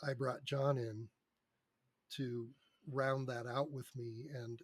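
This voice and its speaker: A man's voice: medium pitch, little pitch movement, slow pace, breathy edge, no room sound. Older man in a dry, close recording. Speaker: podcast guest, screenwriter and radio drama producer. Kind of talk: conversational interview, anecdotes. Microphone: close mic on a remote podcast recording.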